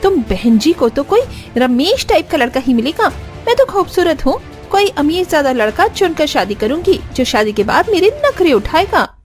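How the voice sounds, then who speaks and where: A woman's voice: high-pitched, sing-song, normal speed, faint music behind. A woman voicing a vain, snappish young woman in a cartoon story, with a scornful, showy manner. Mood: scornful, irritated.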